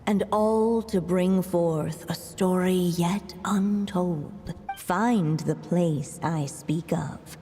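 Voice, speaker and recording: A mysterious storyteller reciting in slow, solemn, poetic lines. Her voice is low and mellow, drawn out in a long, hall-like reverb. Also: soft background music. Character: mysterious female storyteller voice reading a riddle.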